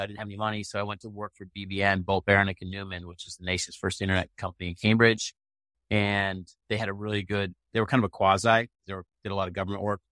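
A man's voice: lowish voice, a bit bright, no room sound. A middle-aged American man from Maine telling his story casually as an interview guest, in a blunt, colloquial way. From Maine, United States. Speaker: interview guest, tech entrepreneur.

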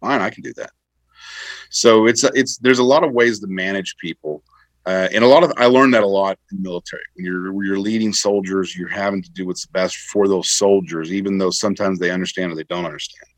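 Male interview guest, veteran, low voice, dry close sound, emphatic conversational explainer. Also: brisk, dry recording.

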